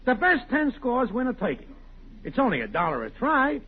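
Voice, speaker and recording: An actor playing a sly, cocksure wisecracking neighbor, boastful and needling, in 1940s radio comedy style. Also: male voice, extremely high pitch, wildly swooping pitch, moderate pace, heavily acted. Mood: slyly coaxing. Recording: muffled old-time radio recording, faint hiss.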